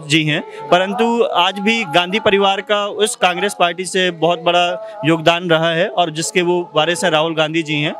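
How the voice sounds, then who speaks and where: A young man from Madhya Pradesh giving his opinion in a night-time outdoor street interview, talking formally with many pauses. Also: high-pitched. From Madhya Pradesh, India. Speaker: interviewee, exam aspirant. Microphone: handheld mic.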